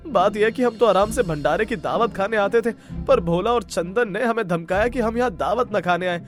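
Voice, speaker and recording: A man's voice: high voice, normal speed, faint music behind, natural voice. A man voicing a nervous, blame-shifting village glutton in a cartoon story, pleading and flustered. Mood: flustered excuses.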